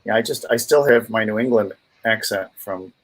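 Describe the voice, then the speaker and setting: A man's voice: fairly low pitch, normal speed, a bit bright. An American man co-hosting a casual livestream chat, wry and jokey with a taste for wordplay. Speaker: livestream co-host.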